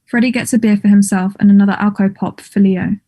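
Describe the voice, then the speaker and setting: Mellow woman reading stage directions. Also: mid-range voice, steady tone, fast talker. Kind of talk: scripted narration at a play table read.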